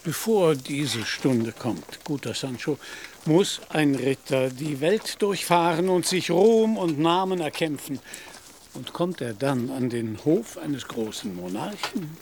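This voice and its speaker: An actor in a German radio play as a deluded, grandiose knight-errant, declaiming in lofty archaic phrases. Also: male voice, a little high, expressive, takes it slowly, a little mellow, a little hiss or hum. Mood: solemn, stately. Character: pompous, deluded knight-errant, an ageing gentleman, lofty and fiery.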